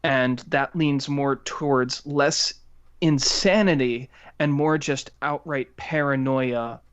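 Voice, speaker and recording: A man co-hosting a podcast, giving casual criticism in a halting, analytical way. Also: mid-range voice, clear, resonant tone, dull audio.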